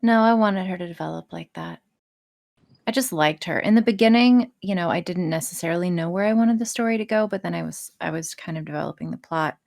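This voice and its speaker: Woman, lowish pitch, blunt and sweary, casual podcast guest, dry recording. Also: fairly quick, vocal fry, a little mellow, no room sound. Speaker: podcast guest, audio writer and voice performer. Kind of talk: casual interview chat.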